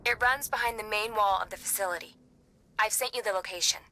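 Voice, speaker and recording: A woman's voice: medium pitch, fast, pushed and bright, a little hiss or hum. A woman playing a capable, composed young intelligence ally in a video game, direct and informal. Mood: businesslike. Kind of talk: video game cutscene acting. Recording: clear ambience behind the voice.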